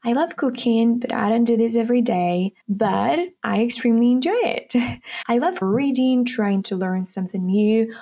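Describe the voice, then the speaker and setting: A woman's voice: fairly high pitch, moderate pace, mellow. A nineteen-year-old woman from Ukraine, hosting her own podcast in a chatty, upbeat solo talk that speaks straight to her listeners. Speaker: podcast host. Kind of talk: casual solo podcast monologue.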